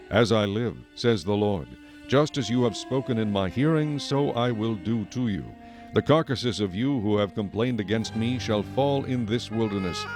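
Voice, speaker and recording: A man playing a stern, majestic God in a dramatized scripture recording, solemn, authoritative and deliberate. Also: fairly low pitch, natural voice. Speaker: narrator and voice of the Lord. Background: music.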